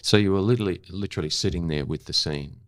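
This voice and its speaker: A man's voice: low voice, fast, fairly bright tone, no echo, studio quality. A middle-aged or older man talking casually as an interview guest, reflective and often searching for the right word. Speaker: interview guest, photographer and former broadcaster.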